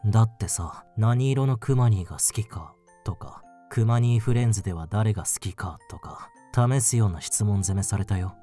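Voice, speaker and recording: A man playing an awkward, low-key young office senior with dry, understated delivery in an anime-style voice drama. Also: normal speed, few pauses, soft background music, natural voice. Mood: dry, grumbling. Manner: deadpan, understated.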